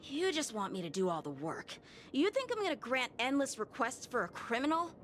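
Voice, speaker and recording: A woman's voice: high voice, big pitch swings, a little fast, slight background noise. A woman voicing an impatient young companion who talks back defensively and sharply. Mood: irritated. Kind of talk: video game voice acting. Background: game music.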